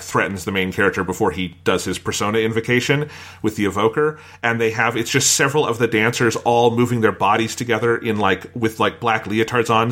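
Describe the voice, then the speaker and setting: A man's voice: fairly low pitch, fairly bright tone. A man talking casually as a podcast co-host, giving opinionated and blunt reviews.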